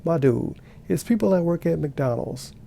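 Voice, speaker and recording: A man's voice: a little high, lively intonation, normal speed, creaky, dark tone, slight background noise. A man giving sarcastic, profane reaction commentary while watching a video. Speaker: commentary streamer.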